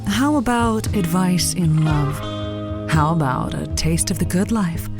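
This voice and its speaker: A woman's voice: mid-range voice, natural voice. A woman playing a flustered novice radio advice host in an audio comedy, theatrical and flowery, given to nervous stammers. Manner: flowery, grandiose on air, stammering when nervous. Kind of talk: audio comedy drama acting.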